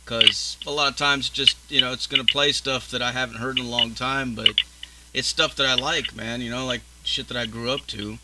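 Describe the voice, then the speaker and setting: A man's voice: medium pitch, steady tone, moderate pace, heavy background noise. A man in his early forties hosting a casual interview, easygoing and swearing freely as he chats. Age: 40s. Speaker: podcast host.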